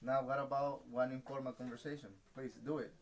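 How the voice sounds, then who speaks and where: A man teaching an English class in a patient, slow classroom manner, checking in with "right?" after each point. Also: mid-range voice, level tone, low-quality recording.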